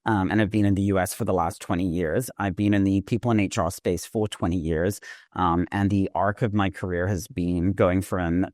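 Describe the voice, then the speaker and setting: A man raised in Hong Kong speaks as an HR panelist with a very deep voice, heard close and dry over a call. He sounds chatty and self-deprecating, with lots of "um"s. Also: fairly even intonation, normal speed, a little mellow, no room sound. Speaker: HR advisor and panelist. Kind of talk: panel introduction.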